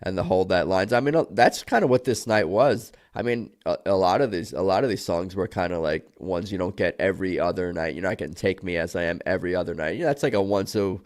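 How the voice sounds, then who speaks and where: Podcast host guy, fairly low voice, loose rambling chat with lots of likes. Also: quick pace, few pauses. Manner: rambling, full of "like" fillers.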